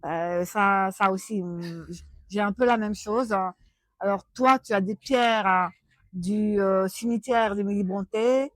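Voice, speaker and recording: A woman's voice: medium pitch, dry recording. A woman from Rome, a native Italian speaker, chatting in a familiar, anecdotal way as she conducts a literary interview. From Rome, Italy.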